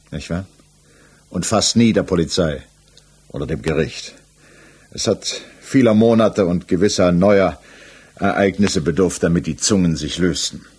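Examining magistrate, low and husky, coolly persistent, measured interrogation in 1960s German radio-play style. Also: male voice, darkish tone. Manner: measured, formal, pointed questioning. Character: male examining magistrate, methodical, probing, insistent, coolly persistent. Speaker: actor in a radio crime drama.

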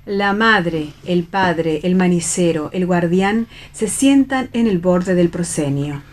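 Narradora de radioteatro de voz grave. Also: female voice, fairly low pitch, takes it slowly. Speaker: narrator reading stage directions. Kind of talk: scripted narration for radio theatre.

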